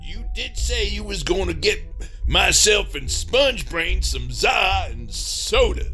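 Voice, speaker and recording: Cranky Southern hillbilly hunter for comedy audio drama: slow, folksy, piercing. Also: male voice, high-pitched, animated, bright, forceful tone, soft background music, full character voice. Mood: aggrieved. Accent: rural Southern US. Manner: exclamatory, folksy, indignant. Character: grumpy, loud backwoods hillbilly monster hunter.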